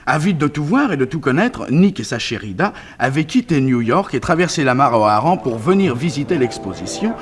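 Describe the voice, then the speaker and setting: An actor narrating a 1960s French radio detective serial as the hero's nephew, in a dramatic, suspenseful manner. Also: male voice, medium pitch, big pitch swings, a little fast, hardly any pauses, close to an everyday voice. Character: storytelling nephew narrating his detective uncle's exploits.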